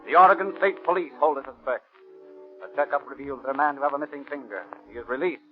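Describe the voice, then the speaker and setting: An American actor playing an urgent narrator of a 1930s police radio drama, with a clipped bulletin delivery. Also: male voice, mid-range voice, fast talker, lightly acted. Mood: urgent, dramatic. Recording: tinny 1930s radio recording with music.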